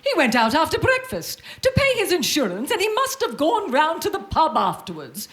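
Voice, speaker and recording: A melodramatic, haughty housewife in a live radio play. Her voice is very high and leaps about dramatically. She is snappish and snobbish in manner. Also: wildly swooping pitch, normal speed, fairly bright tone, heavily acted. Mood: defensive, recounting. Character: Amelia, haughty defensive housewife in mourning, sharp-tongued.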